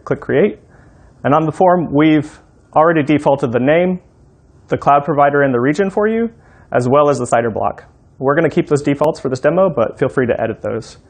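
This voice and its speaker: A man in his thirties walks through a software demo. His voice is mid-pitched with plenty of vocal fry, and he explains things one step at a time. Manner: instructional, step by step. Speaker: software engineer, demo presenter. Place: room in a home.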